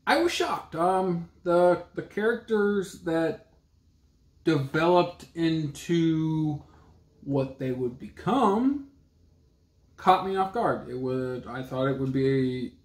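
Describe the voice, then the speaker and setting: A man's voice: a little high, animated, slow pace. A man in his thirties gives blunt, casual opinions on books. His plain-spoken delivery is picked up by a camera mic in a roomy, echoey space at home. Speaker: book reviewer and co-host of a book vlog. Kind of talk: casual chat about books. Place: small indoor room at home with a bookcase.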